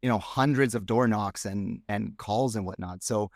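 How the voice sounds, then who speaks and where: Man, Romanian native speaker, podcast guest, low voice, thinks aloud, dry close sound. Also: normal speed, darkish tone, no echo. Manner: frank, reflective, thinks aloud. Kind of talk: casual interview chat.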